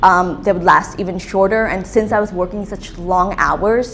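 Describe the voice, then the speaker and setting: A woman's voice: mid-range voice, fairly quick, fairly bright tone, slight background noise, poor audio. A young woman from Australia, answering interview questions casually with frequent ums and restarts. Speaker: business owner, interviewee.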